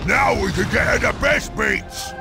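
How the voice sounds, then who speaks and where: A man playing a gleeful, dim Ork looter in a video game, shouting crude slang. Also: high-pitched, measured pace, creaky, music in the background, heavily acted. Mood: gleeful, rowdy.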